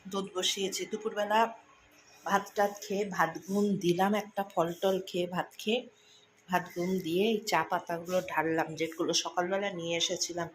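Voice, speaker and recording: A woman's voice: on the low side, steady tone, normal speed, a little hiss or hum, low-quality recording. A woman chatting informally to family and viewers in a casual daily vlog.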